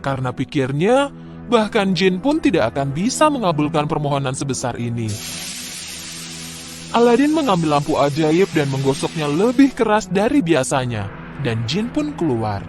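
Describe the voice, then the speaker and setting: High-pitched man narrating a fairy tale. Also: very animated, normal speed, over music. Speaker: storyteller.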